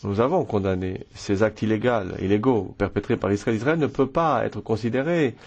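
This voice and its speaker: A man giving a formal prepared statement at a press conference, measured with occasional hesitations. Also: lowish voice, a little mellow, dull audio. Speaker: member of parliament, delegation speaker. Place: press room.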